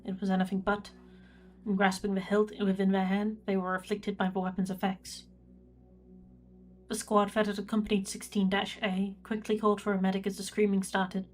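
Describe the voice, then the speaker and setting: A woman's voice: medium pitch, natural voice. A woman playing a reserved imperial records prefect, dictating a log in a formal, precise manner for a sci-fi audio drama. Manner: formal, measured, clinical.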